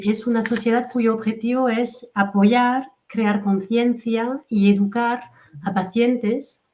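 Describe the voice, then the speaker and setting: A woman's voice: mid-range voice, darkish tone. A woman from France with a French accent, a fertility coach hosting an online webinar over a video call, speaking with many fillers and restarts. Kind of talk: hosted webinar introduction.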